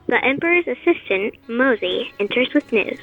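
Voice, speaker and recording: A woman's voice: fairly high pitch, expressive, moderate pace. A woman reading scripted narration for an audio play. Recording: tinny, phone-like band-limited audio.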